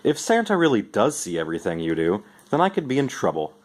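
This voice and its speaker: A man with a fairly low voice giving an expressive amateur storybook reading in the first person. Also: fast. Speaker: amateur reader.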